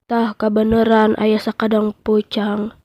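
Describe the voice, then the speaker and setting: A woman's voice: medium pitch, monotone, measured pace, no echo, dull audio, close to an everyday voice. A woman voicing a wary, blunt buffalo in a children's fable. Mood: appealing.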